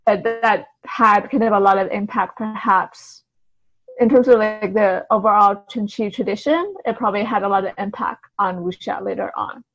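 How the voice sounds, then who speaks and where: A woman's voice: medium pitch, fairly even intonation, moderate pace, a bit bright. A woman answering conversationally as an interview guest, explaining things in a tentative, hedging way. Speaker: podcast guest, writer and translator. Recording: slightly dull, lo-fi sound.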